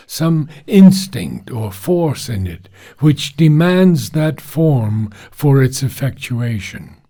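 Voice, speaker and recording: A man reads a philosophical text aloud at a very unhurried pace. His voice is mellow and husky, set at an average male pitch. He delivers the formal prose in measured, deliberate phrases with pauses between them. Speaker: reader of a philosophical book.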